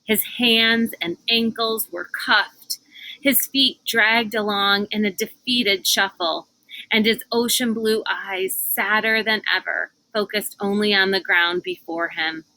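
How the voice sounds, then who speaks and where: A woman reading a children's novel aloud as narrator, with expressive, deliberate, dramatic phrasing. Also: medium pitch, low-quality recording.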